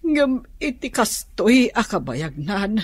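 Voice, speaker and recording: A husky voice, close and dry, speaks at a slow pace: a sorrowful mother in a radio drama, tender and resigned, with an Ilocano accent. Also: medium pitch, big pitch swings, dry recording, full character voice.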